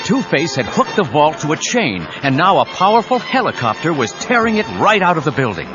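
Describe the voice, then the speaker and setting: A man's voice: fairly high pitch, big pitch swings, fairly quick. A man narrating an action audio drama with urgent, suspenseful scripted delivery. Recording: dull cassette audio with dramatic music.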